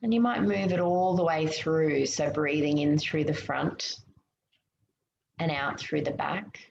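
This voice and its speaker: Slow, low-voiced woman guiding meditation. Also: steady tone, creaky. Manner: soothing, gentle, unhurried instruction with pauses. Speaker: meditation guide. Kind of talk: guided meditation. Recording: muffled, roomy, noticeable background hiss.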